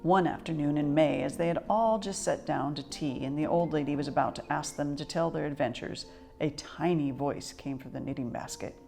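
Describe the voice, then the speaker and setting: Low-voiced woman reading a children's story. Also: moderate pace, creaky, warm tone, faint music behind. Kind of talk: children's picture book read-aloud.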